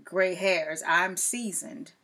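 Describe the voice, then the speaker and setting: A woman in her forties talking candidly to a camera as a podcast host, reflective and unhurried, with frequent fillers and restarts. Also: lowish voice, sharp tone. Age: 40s. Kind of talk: casual personal talk to camera.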